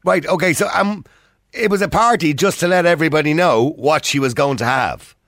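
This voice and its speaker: A man with an Irish accent hosting a phone-in radio talk show, joking with callers and cutting in quickly. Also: fairly high pitch, a bit bright, no echo, studio quality. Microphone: studio microphone.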